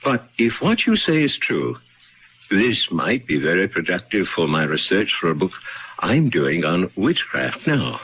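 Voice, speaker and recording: A muffled radio drama recording. a cautious witchcraft professor with a deep, husky voice. His delivery is formal and deliberate, with dramatic weight. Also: very animated, exaggerated voice. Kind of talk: radio mystery drama acting.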